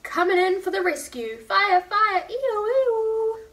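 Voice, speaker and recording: A woman voicing an excited young boy from a storybook who plays at urgent rescues and calls out emphatically. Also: extremely high pitch, slow pace, voice pushed up, full character voice. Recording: distant mic with faint room noise.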